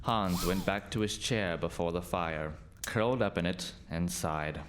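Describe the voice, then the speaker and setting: Deep-voiced man narrating a storybook. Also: unhurried, noisy background.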